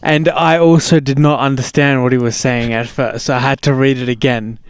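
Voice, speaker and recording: A man's voice: medium pitch, fairly even intonation, moderate pace, clear, resonant tone, dry recording. A man co-hosting a podcast, chiming in with amused reactions in casual chat. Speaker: podcast co-host.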